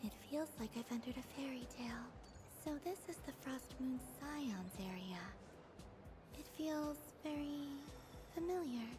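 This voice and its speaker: A woman playing a gentle, wistful young moon goddess in a video game, soft-spoken, dreamy and polite. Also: high voice, unhurried, music in the background. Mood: gentle, curious.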